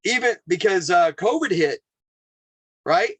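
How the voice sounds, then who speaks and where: A man, a chef, telling stories in a casual, animated interview with lots of repeated phrases. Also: high voice, measured pace, husky, dry recording. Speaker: chef and podcast guest.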